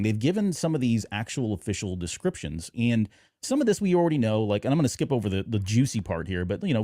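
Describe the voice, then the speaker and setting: Male podcast host, middle-aged. Animated pitch, soft-edged mellow tone, dry close sound, chatty and informal. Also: no echo. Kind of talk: casual podcast chat.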